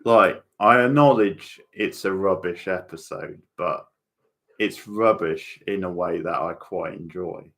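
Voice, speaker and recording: A man's voice: low-pitched, unhurried, fairly bright tone. A man giving casual live watchalong commentary as host, chatty and informal, reacting in short bursts.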